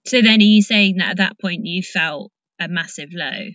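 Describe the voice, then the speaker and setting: A woman's voice: lowish voice, moderate pace, fairly bright tone, no echo. A woman hosting a casual conversation, speaking in an informal, supportive way as she interviews a guest. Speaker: host, interviewer.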